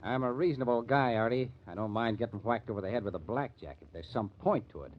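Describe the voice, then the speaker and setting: A man's voice: mid-range voice, fast talker, background noise, close to an everyday voice. A man acting a sardonic police lieutenant in an old-time radio crime drama, needling and interrogating. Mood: accusing. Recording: dull vintage radio recording.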